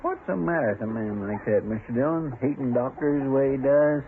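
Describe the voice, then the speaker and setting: An actor playing a simple, loyal, folksy marshal's sidekick with a rural American accent in a radio Western. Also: male voice, mid-range voice, a little fast, lightly acted. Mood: concerned, folksy. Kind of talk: 1950s Western radio drama acting. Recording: narrow-band old radio recording, faint noise.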